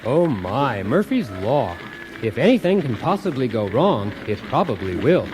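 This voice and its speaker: Man acting as accident-prone husband, parody how-to radio host, animated pitch swings, instructive, unruffled, noisy recording. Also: a little slow. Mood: blithely upbeat. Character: blithely cheerful accident-prone husband hosting a do-it-yourself show.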